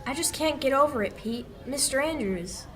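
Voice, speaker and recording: Young woman playing high-voiced radio sweetheart. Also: expressive, normal speed, a bit bright. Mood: affectionate, curious. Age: young adult. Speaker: amateur high school actor. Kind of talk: 1940s radio play acting.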